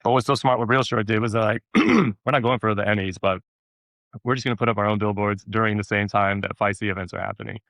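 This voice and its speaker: A man chatting casually as an interview guest, telling stories in an animated way, repeating words for emphasis and acting out quotes. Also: fairly low pitch, fast, no echo. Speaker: actor being interviewed.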